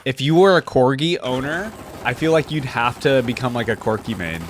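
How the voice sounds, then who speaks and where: A man's voice: medium pitch, lively intonation, moderate pace, slight background noise. A man giving casual, enthusiastic reaction commentary as a gaming video host, full of exclamations and slangy phrasing.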